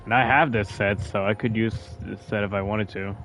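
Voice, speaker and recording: A man's voice: fairly low pitch, fairly even intonation, fairly quick, a bit bright, a little hiss or hum, poor audio. A man giving casual gameplay commentary, thinking aloud informally as he plays. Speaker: gamer playing and commenting. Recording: game music behind the voice.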